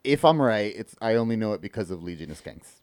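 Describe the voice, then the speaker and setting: A man's voice: fairly low pitch, animated, fast talker, crowd noise. A man co-hosting a podcast, bantering casually in a playful, crude-mouthed way. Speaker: podcast co-host.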